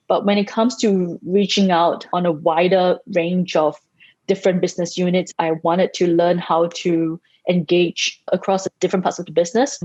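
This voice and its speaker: A woman from Singapore, a company founder, telling her career story in a podcast interview, measured with small restarts. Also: fairly low pitch, a little mellow, no room sound. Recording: dry, muffled, lo-fi sound.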